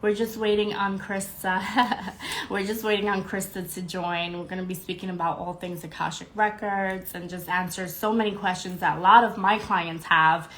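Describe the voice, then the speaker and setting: A woman's voice: medium pitch, steady tone, moderate pace, fairly bright tone, a little hiss or hum, low-quality recording. A young woman hosting a live podcast stream, congested and a little nasal, bubbly and greeting viewers by name between fillers. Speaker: podcast host. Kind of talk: casual live-stream chat.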